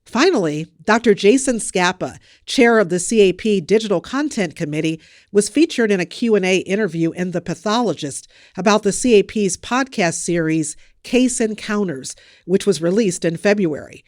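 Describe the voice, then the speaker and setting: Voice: medium pitch, lively intonation, moderate pace, dry recording, studio quality. A podcast news host reading scripted narration.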